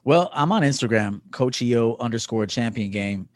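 Male, early forties, interview guest. Conversational coach talk, emphatic delivery, mid-pitched, dry recording. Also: lively intonation, normal speed, no room sound. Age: early 40s. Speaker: podcast guest, men's coach.

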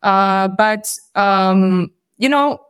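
A woman's voice: mid-range voice, extremely slow, clean tone. Thoughtful woman from Bosnia in conversation. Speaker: podcast guest. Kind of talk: conversational interview answers.

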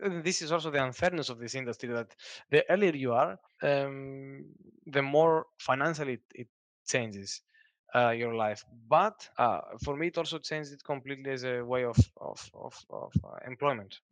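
A man's voice: medium pitch, normal speed, fairly bright tone, no echo. Hesitant man with a dry sound. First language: Greek. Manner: hesitant, many fillers and restarts, laughs often. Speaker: guest, software developer. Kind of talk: casual interview.

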